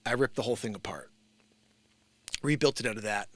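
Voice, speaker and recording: Bright-voiced American man chatting casually. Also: lowish voice, a little fast, a little hiss or hum. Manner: informal, rambling, slangy. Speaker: musician hosting a livestream. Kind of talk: casual livestream chat to viewers.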